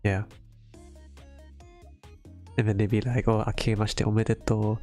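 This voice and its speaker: A man's voice: on the low side, little pitch movement, normal speed, mellow, soft background music. German-accented man chatting on stream. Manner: rambling, many fillers. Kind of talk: casual chat while drawing on stream.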